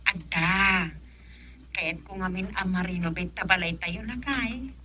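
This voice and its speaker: An actress playing an assertive wife who works abroad in a radio drama, speaking with an Ilocano accent. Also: female voice, lowish voice, unhurried, pushed and bright, slight background noise. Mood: eager, insistent. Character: assertive wife working abroad, eager to spend.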